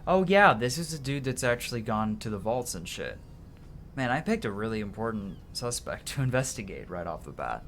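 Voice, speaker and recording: A man's voice: medium pitch, sing-song, fairly quick, a bit bright, a little hiss or hum. A man live streaming a game, reading dialogue aloud and chatting in a casual, slangy way.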